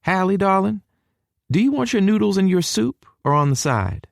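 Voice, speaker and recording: A man voicing a warm, welcoming middle-aged Texan mother with a Southern US accent, in an audiobook. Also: high voice, sing-song, moderate pace, vocal fry, no room sound, studio quality, voice pushed up, full character voice. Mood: affectionate, teasing.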